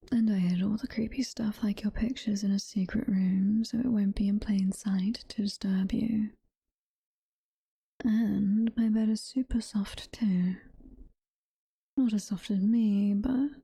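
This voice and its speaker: A woman playing a doting, possessive demon mommy girlfriend in an ASMR roleplay, whispering softly and tenderly with a British accent. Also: medium pitch, dry recording, full character voice. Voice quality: breathy, soft-spoken whisper. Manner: slow, tender, coaxing. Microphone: close ASMR microphone.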